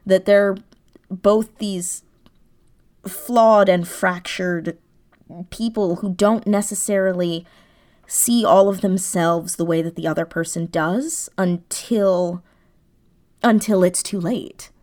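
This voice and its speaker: A woman's voice: medium pitch, steady tone, takes it slowly, darkish tone. A woman speaking as an interview guest, explaining her creative thinking in a casual, thoughtful way, searching for words as she goes. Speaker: guest, audio drama writer.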